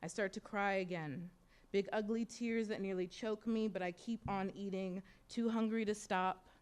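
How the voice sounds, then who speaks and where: A young American woman reading her own personal essay aloud at a bookstore event, in a measured, reflective way. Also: mid-range voice, clear, resonant tone. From United States. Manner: measured, reflective, softly expressive delivery. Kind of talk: literary reading of personal prose. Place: bookstore event in front of an audience.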